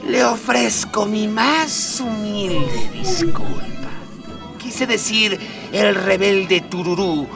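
A woman's voice: medium pitch, lively intonation, very slow, creaky, exaggerated voice. A woman playing an obsequious, scheming court doctor and inventor in a comic radio drama, formal and theatrical. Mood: fawning. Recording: slightly distant, music behind.

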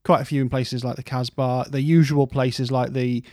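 A man's voice: medium pitch, fairly even intonation, normal speed, a little mellow, no echo, studio quality. A man co-hosting a casual music history podcast, rambling through stories in a playful way.

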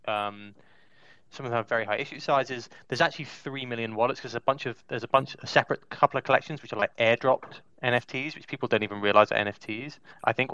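A man with a fairly low, bright voice talks casually and haltingly, explaining as he goes. The sound is very dry and slightly dull, like compressed online voice chat. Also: clear, resonant tone, dry recording.

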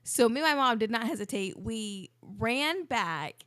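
Woman, twenties, upbeat casual co-host, a little high-voiced. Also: lively intonation, a little slow, fairly bright tone, no room sound, studio quality. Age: 20s. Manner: friendly, rambling, upbeat. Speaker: podcast co-host. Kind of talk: casual chat.

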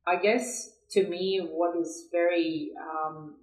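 A woman with a low voice and a Brazilian accent speaks as a guest in an interview. She talks candidly and haltingly, circling back over words to find her phrasing. The room around her sounds echoey. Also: steady tone, darkish tone. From São Paulo, Brazil. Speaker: podcast guest, agribusiness professional. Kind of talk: candid conversational interview answers.

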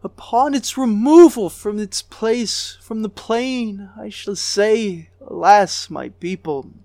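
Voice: medium pitch, takes it slowly, dark tone, no echo. A reader voicing a grieving goddess queen of a ruined city, lamenting with a voice that breaks into sobs. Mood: mournful. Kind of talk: dramatic recitation of an ancient lament.

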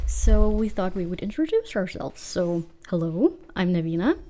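A woman in her twenties from Denmark, co-hosting a student podcast in casual, low-key chat. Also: very animated, moderate pace, a crowd in the background. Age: 20s. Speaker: podcast co-host.

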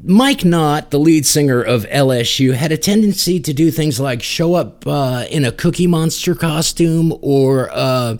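A man's voice: on the high side, expressive, unhurried, hardly any pauses, a little mellow. A man hosting a casual music podcast, chatting in a joking, rambling way. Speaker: podcast host.

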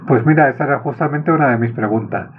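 A man from Chile with a Chilean accent, hosting a casual conversation and asking curious, relaxed questions. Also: medium pitch, quick pace, slightly rough. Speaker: podcast host. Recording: tinny phone-like line.

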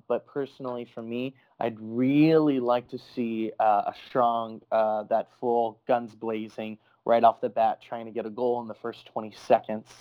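Flat, steady-voiced man on a dull line. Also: mid-range voice, no room sound. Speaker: podcast panelist. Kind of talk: casual football chat.

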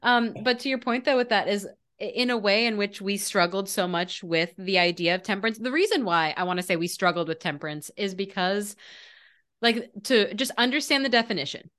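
A woman co-hosting a casual podcast. She explains her ideas eagerly and with emphatic energy. Her pitch sits in a typical middle range. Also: a little fast, fairly bright tone. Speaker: podcast co-host. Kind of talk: casual spiritual chat.

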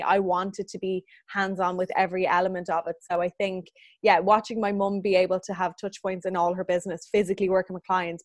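A woman's voice: medium pitch, dry recording, clean studio sound. A woman in her thirties who runs a business, answering interview questions in a chatty, earnest way and laughing often. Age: 30s. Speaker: business owner guest.

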